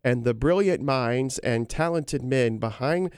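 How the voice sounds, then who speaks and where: A man co-hosting a casual chat show, his voice hoarse from a cold, talking in a chatty, joking way with frequent fillers. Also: mid-range voice, a little slow, a little mellow, no echo, studio quality. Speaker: co-host.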